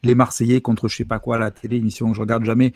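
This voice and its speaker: A brisk, quick-spoken man lectures in an easy, explanatory way over a video call. He works in lots of asides, and the sound is close and dry with no room around it. His voice sits at a middling pitch. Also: no room sound. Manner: lively, explanatory, fluent with asides. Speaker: university linguistics lecturer, guest. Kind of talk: explaining in a livestream interview.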